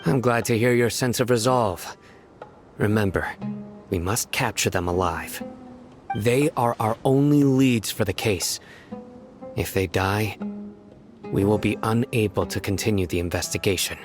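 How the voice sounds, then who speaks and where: Man playing a stern, low-voiced general. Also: unhurried, pauses often, natural voice. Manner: terse, commanding, deadpan. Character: stern, aloof young male general and enforcer. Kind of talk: video game cutscene acting. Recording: music behind the voice.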